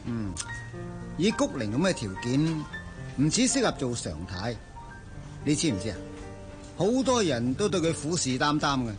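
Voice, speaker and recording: A male actor playing the groom's smooth-talking old friend in a Cantonese radio drama, teasing and insinuating in a Hong Kong accent. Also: medium pitch, very animated, normal speed, pauses often, natural voice. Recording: music behind the voice.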